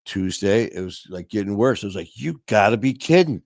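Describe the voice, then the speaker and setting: A man hosting a casual live stream, talking warmly to viewers in a rambling way with many fillers and restarts. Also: lowish voice, lively intonation, brisk, gravelly, dry recording, studio quality. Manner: friendly and upbeat, addressing viewers. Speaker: livestream host.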